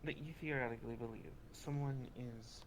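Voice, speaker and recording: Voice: medium pitch, steady tone, normal speed. A person reasoning aloud and questioning casually in character during an online role-play. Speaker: role-player in a group meet-up. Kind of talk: casual in-character improvised chat. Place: online voice chat in a virtual world. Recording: noisy online voice chat.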